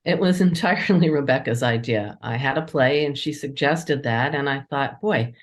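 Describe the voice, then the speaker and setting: Low-voiced woman answering interview questions. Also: normal speed. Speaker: interviewed playwright and editor.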